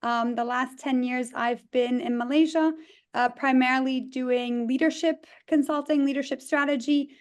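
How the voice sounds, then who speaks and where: High-voiced Dutch-born woman consultant. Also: fairly even intonation, normal speed. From Netherlands. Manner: friendly, chatty, with fillers. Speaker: leadership consultant. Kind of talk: self-introduction on a webinar. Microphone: video call.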